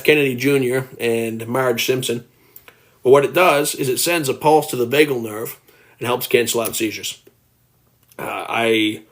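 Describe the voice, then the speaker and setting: Earnest young man chatting on a livestream. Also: medium pitch. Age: early 20s. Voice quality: turns gravelly at times. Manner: earnest, rambling, reads viewer comments aloud. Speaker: livestream host. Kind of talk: casual livestream chat.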